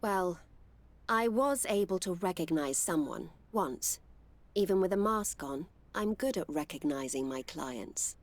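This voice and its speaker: A woman's voice: fairly low pitch, animated, measured pace, no room sound. A woman playing a wary, world-wise courtesan in a video game. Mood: guarded.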